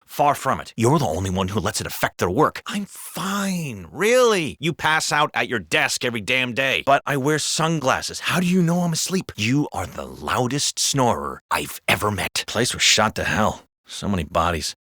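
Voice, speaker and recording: An actor playing a jaded, foul-mouthed, sardonic police officer in a video game. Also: male voice, medium pitch, sing-song, moderate pace, hardly any pauses, pushed and bright, dry recording, studio quality. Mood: wry, gossipy. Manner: gossipy, sardonic, swearing. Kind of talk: scripted video game voice acting.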